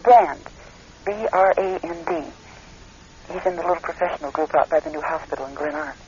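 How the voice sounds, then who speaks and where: An actress playing an anxious, loving wife in a radio drama, pleading and tender with halting speech. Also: female voice, low-pitched, expressive, a little mellow. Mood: hesitant, concerned. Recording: muffled, hissy old radio recording.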